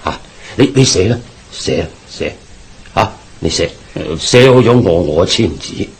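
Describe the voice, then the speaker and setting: Radio drama uncle: very deep, husky, placating, stammering, Hong Kong Cantonese accent. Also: male voice, animated, measured pace, exaggerated voice. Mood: anxious, eager. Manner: nervous stammering, anxious repetition. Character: frightened, guilt-ridden businessman uncle, flustered and placating.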